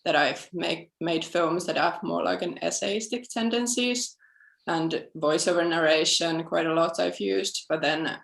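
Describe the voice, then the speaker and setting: Gravelly woman in an echoey room. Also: on the low side, unhurried. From southern Finland. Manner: thoughtful, with frequent fillers and restarts. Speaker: documentary film director. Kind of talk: panel interview answers. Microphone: video call.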